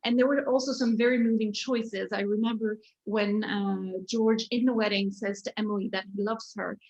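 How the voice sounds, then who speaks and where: A woman moderating a panel discussion who asks her questions in a measured, articulate way. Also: mid-range voice, fairly even intonation, darkish tone, rough recording. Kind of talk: interview questions.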